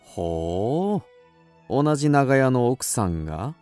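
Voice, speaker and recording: Affable oddball young detective, radio drama, takes his time, swoopy pitch. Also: very animated, slow pace, darkish tone, clear, resonant tone, faint music behind. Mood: intrigued. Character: quirky, affable young male amateur sleuth, intellectual.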